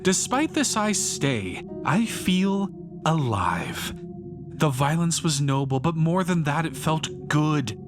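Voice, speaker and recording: A man's voice: on the high side, sing-song, slow pace. A man performing a bitter, self-righteous failed painter turned fanatical vandal in an intense, rhyming dramatic monologue. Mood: exhilarated righteous. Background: music behind the voice.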